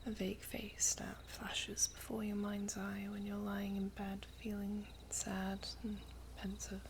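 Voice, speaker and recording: Casting: woman as elven princess for ASMR fantasy roleplay; hushed, unhurried, creaky-edged, tender yet guarded. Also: mid-range voice, completely flat delivery, noisy background, natural voice. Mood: soft, tender. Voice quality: breathy, whispery. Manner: slow, hushed, formal storybook phrasing. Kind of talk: ASMR roleplay, soft whispery close speech.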